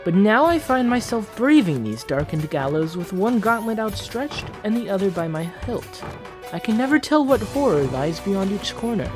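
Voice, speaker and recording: Casting: proud, entitled young prince played by a fan voice actor, formal fantasy narration, very expressive intonation, soft music underneath. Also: medium pitch, moderate pace, lightly acted. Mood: uneasy, haughty. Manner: theatrical, formal, dramatic. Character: Prince Johannes, proud, haughty, entitled eldest royal son on a quest. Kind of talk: dramatic fantasy journal narration, fan dub.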